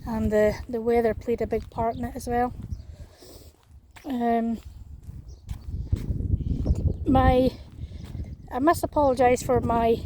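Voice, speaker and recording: A Scottish woman vlogging as she walks along an outdoor path in a small town. Her voice is croaky, and her talk is full of fillers and restarts. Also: fairly high pitch, mellow, slight background noise, poor audio. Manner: hesitant, many fillers and restarts. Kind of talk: walking vlog chat.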